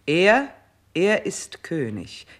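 A woman's voice: lowish voice, huge pitch swings, drawn-out words, exaggerated voice. An actress playing a stern, scornful older queen in a 1960s radio play, with speech and an imperious, declamatory delivery. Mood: accusing, scornful. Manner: imperious, biting, declamatory, emphatic enumerations.

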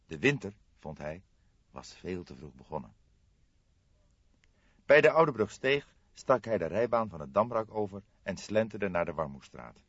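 A man's voice: fairly low pitch, sing-song, measured pace, husky, no room sound, lo-fi sound. A man reading scripted literary narration as an audiobook narrator.

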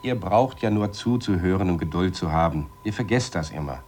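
An actor playing a matter-of-fact space lab astronaut in a radio drama, explaining in a measured, dryly sarcastic way. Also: male voice, deep voice, animated, darkish tone, slight background noise. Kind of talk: radio drama acting, spoken report.

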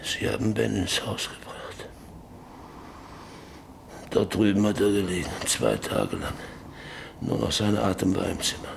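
A gravelly, low-voiced middle-aged landowner in a radio drama, stubborn and haunted by guilt. He speaks in halting, brooding phrases, circling back on his words, over faint background noise. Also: sing-song, exaggerated voice. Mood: grief-stricken. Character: guilt-ridden, stubborn, brooding landowner father, married 25 years. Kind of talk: German radio drama acting.

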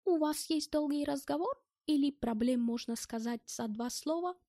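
Женщина озвучивает в аудиокниге пожилого робкого и эмоционального немца, который с немецким акцентом говорит на ломаном языке. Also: female voice, high-pitched, dark tone, no echo, studio quality, voice pushed up. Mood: concerned, puzzled. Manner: plaintive, emotional, halting foreign phrasing.

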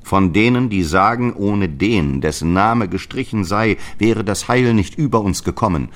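An actor playing a stern, zealous apostle who preaches and commands in solemn scriptural language for an audio drama. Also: male voice, fairly low pitch, moderate pace, close to an everyday voice. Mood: preaching, instructing. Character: Paulus, stern zealous male apostle, guardian of the faith.

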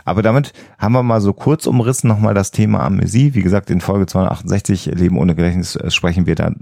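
A man's voice: sits low, steady tone, fast, gravelly, darkish tone, dry recording, clean studio sound. A man who explains things as a podcast host, often rephrasing himself as he goes.

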